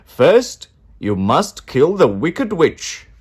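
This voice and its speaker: A man reading a storybook aloud, voicing a grand wizard who speaks in a loud, commanding way. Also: a little high, huge pitch swings, very slow, slightly rough, voice pushed up, heavily acted.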